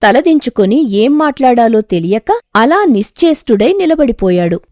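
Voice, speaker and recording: A woman reads a scripted story as narrator. Her voice sits high and moves with lively, expressive intonation. The sound is very dry. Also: normal speed, no room sound.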